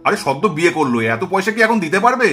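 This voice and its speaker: A man's voice: high voice, big pitch swings, very fast, bright, forceful tone, full character voice. A man playing a tight-fisted young man in a puppet show, exclaiming and grumbling. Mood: incredulous, protesting. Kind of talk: puppet-show cartoon dialogue. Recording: music behind the voice.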